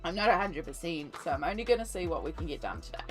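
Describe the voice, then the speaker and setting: An Australian woman with a cold, her voice lowered and coughing, chatting casually and rambling with many ums while she colours on a stream. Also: big pitch swings, quick pace. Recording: faint music behind.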